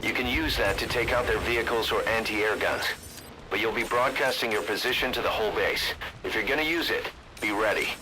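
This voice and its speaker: Man playing military radio guide, fairly low voice, brisk tutorial instructions, faint noise behind. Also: natural voice. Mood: brisk, instructive. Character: brisk military radio support officer guiding a soldier. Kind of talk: scripted game tutorial instructions.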